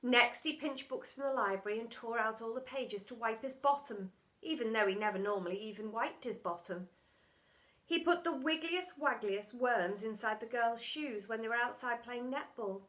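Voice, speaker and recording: A woman reading a children's storybook aloud as expressive narration, with dramatic, emphatic storytelling. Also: a little high, moderate pace. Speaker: primary school story reader.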